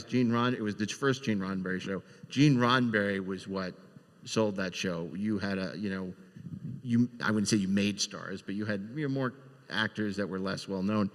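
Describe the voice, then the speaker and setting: A man hosting a panel casually, with wordy, meandering questions. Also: lowish voice. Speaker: panel host. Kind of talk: casual moderating. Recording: reverberant hall.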